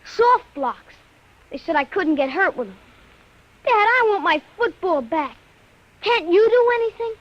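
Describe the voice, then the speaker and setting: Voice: very high voice, expressive, unhurried, long pauses. A performer playing an upset young boy, whining and indignant, in vintage radio drama style. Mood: indignant, sulky. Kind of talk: 1950s radio drama acting. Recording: muffled, band-limited old radio recording.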